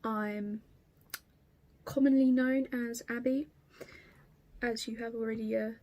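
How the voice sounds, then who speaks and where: A woman's voice: on the high side, fairly even intonation, slow, dark tone. Chatty sweary young Estuary English woman. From Kent, England. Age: 20s. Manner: chatty, sweary, rambling with fillers and restarts. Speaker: craft vlogger. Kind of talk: casual chat to camera.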